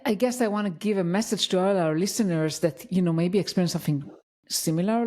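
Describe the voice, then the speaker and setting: A woman's voice: on the low side, dark tone. A woman with a foreign accent, hosting a conversational interview podcast. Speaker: podcast host.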